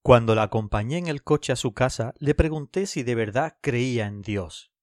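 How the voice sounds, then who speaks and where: A man's voice: mid-range voice, normal speed, natural voice. A man playing a lonely, lovelorn and self-deprecating narrator in a scripted audio fiction. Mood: reflective.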